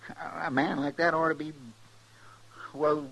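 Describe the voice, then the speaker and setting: A man's voice: fairly high pitch, little pitch movement, measured pace, vocal fry. An actor playing a kindly, exclamatory sidekick to a lawman in a radio western. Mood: troubled, indignant. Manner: folksy, exclamatory. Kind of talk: 1950s radio western acting. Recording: noisy old radio drama recording.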